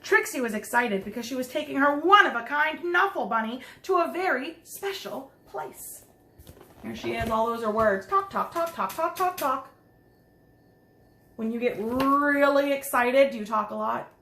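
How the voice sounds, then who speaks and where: A woman's voice: high-pitched, lively intonation, normal speed, pushed and bright, a little hiss or hum. A woman preschool teacher reading a picture book aloud to children, expressively, with chatty asides and simple explanations. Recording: roomy, echoing sound.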